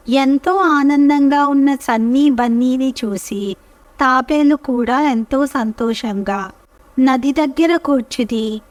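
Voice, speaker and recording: A woman narrating a scripted children's story as storyteller, with measured, careful phrasing. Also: high voice, no room sound, far from the mic.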